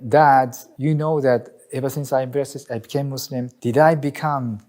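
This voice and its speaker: A man's voice: medium pitch, fairly even intonation, normal speed, darkish tone. Earnest Japanese-accented man, reflective. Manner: earnest, reflective, searching for words. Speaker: imam. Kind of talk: personal testimony interview.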